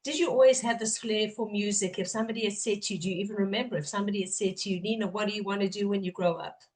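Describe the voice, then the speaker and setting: A woman's voice: mid-range voice, steady tone, racing, a little mellow, rough recording. Chatty woman podcast host. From Israel-based, English-speaking. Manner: chatty, enthusiastic, restarts often. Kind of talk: warm interview chat.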